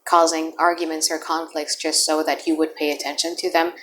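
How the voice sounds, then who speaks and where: A woman's voice: deep voice, little pitch movement, fairly quick, fairly bright tone, slight background noise. A woman whose first language is Indonesian gives a chatty, blunt tarot reading, thinking aloud as she turns the cards. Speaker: tarot reader. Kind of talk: casual tarot reading to camera.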